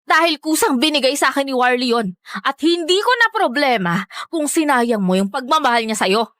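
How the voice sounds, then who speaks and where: A Filipino woman acting in a radio drama as a defiant, whiny young mistress, brazen and quick to defend herself. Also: high voice, expressive, a bit bright, no room sound, clean studio sound.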